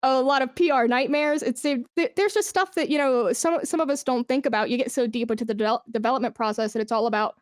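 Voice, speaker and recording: A woman's voice: high voice, fast talker, few pauses, no echo, studio quality. A woman speaking as an interview guest in casual, informal conversation, telling things with slangy asides. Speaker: podcast guest, esports brand manager.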